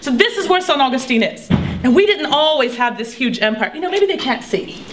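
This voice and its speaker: A woman's voice: high-pitched, animated, a little fast, reverberant, faint music behind. A woman playing a proud Spanish colonial teacher who lectures a crowd in a live history reenactment. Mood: instructing. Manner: theatrical, bossy, addressing a crowd.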